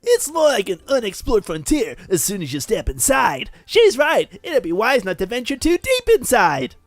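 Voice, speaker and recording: A man's voice: high-pitched, wildly swooping pitch, moderate pace, no room sound. A British man co-hosting a let's play with joking, sweary casual commentary, sometimes reading the game text aloud.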